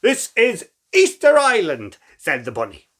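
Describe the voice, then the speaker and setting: A man's voice: sits high, huge pitch swings, a little slow, sharp tone, voice pushed up, heavily acted. A man voicing a friendly, eager talking bunny guide from a storybook.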